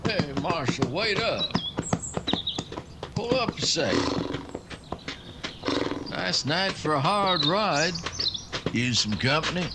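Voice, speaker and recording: An actor playing an easygoing undercover ranger in a western radio drama, talking in a genial, folksy cowboy way. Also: male voice, fairly high pitch, very animated, takes it slowly, long pauses, very noisy, full character voice. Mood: friendly.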